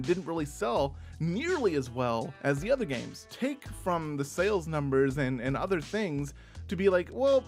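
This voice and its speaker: A man with a slight lisp and a slightly high voice rambles through a self-mocking rant. His delivery is dry and sarcastic, and faint music plays quietly behind him. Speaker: YouTube host. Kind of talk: sarcastic rant reading viewer comments.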